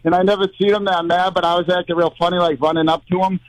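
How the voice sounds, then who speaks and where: A man from the Northeast, calling in to a sports radio show and rambling excitedly with lots of fillers. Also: high-pitched, fast talker, no room sound, low-quality recording. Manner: rambling, excitable, talks in run-on bursts with many fillers. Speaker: caller. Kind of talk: rambling call-in chat.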